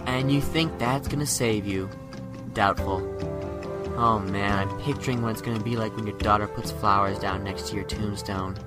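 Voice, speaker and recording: A man's voice: lowish voice, fairly even intonation, moderate pace, lightly acted. A man in a radio drama playing a sadistic kidnapper who taunts in a chatty, morbidly philosophical way. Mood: morbidly fascinated. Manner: mocking, chatty, drawn to musing on death. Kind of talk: amateur radio drama acting. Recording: loud music behind the voice.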